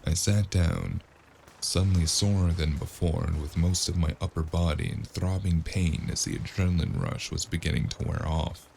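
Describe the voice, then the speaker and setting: A man with a gravelly voice narrating a cyberpunk noir audio drama in the first person, as a weary, wry gunman. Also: deep voice, fairly even intonation, moderate pace, a little mellow, a little hiss or hum. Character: weary, wry male cyberpunk gunman telling his own story. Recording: music under the voice.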